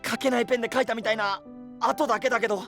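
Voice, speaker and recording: A man's voice: extremely high pitch, steady tone, rapid-fire, gravelly, bright, forceful tone, soft background music, heavily acted. An actor playing a boyish, frank and upbeat young male knight with casual, direct speech in an anime-style voice drama. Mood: excited.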